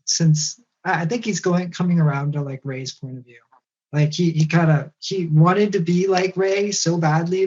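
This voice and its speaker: A man's voice: a little high, a little mellow, lo-fi sound. A man on a casual podcast chat, giving blunt opinions with dry humor. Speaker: podcast co-host and voice actor.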